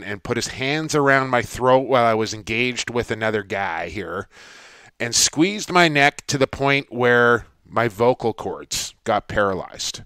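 A man's voice: medium pitch, a bit bright, clear, resonant tone, no echo. A man co-hosting a podcast, chatting casually and telling long self-deprecating stories. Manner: rambling, self-deprecating storyteller. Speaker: podcast co-host.

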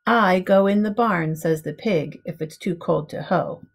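A middle-aged woman reading a picture book aloud to young children in simple, measured storybook phrasing. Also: fairly low pitch, husky. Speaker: preschool teacher reading aloud. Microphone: webcam at arm's length.

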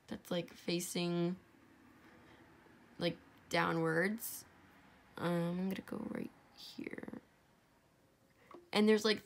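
A woman's voice: mid-range voice, a bit bright. A young woman with a stuffy, congested voice, casually talking through a crafting tutorial and often dropping to a whisper. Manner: chatty, self-deprecating, often drops to a whisper. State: congested, sore throat. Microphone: camera over a tabletop, hands only.

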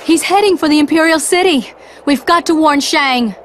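A brave young woman warrior played by an actress in an animated game. She sounds earnest and determined in a high voice, with faint ambience behind her. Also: moderate pace, slight background noise, lightly acted. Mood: urgent, determined. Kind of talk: animated video game voice acting.

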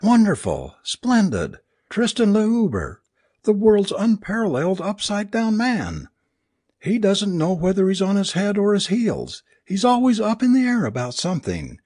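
Man voicing a high, distraught, sarcastic woman. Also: huge pitch swings, unhurried, a little mellow, husky, dry recording, studio quality, exaggerated voice. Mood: bitter mockery. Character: distraught, bitterly sarcastic woman, raving in despair.

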